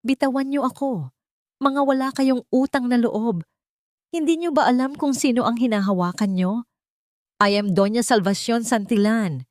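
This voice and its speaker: Woman doing a proud old matriarch in a screaming rage, high and piercing. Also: moderate pace, darkish tone, no echo, studio quality, natural voice. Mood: furious. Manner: shrill, imperious screaming. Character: haughty old rich woman, arrested and raging.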